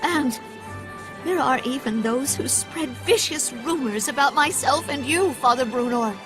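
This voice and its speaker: A devout, high-voiced, anxious mother. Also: measured pace. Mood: anxious, pleading. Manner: earnest, polite, formal. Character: devout, anxious mother pleading with her priest. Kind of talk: dubbed fantasy game cutscene acting. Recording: orchestral music behind the voice.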